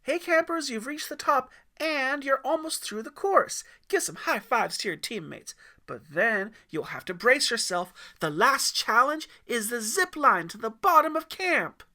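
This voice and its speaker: A man voicing an upbeat young female ropes course guide, loud and chirpy, in an audiobook. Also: extremely high pitch, animated, unhurried, hardly any pauses, pitched above the performer's own voice, heavily acted. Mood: peppy. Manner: loud, chirpy, instructing campers.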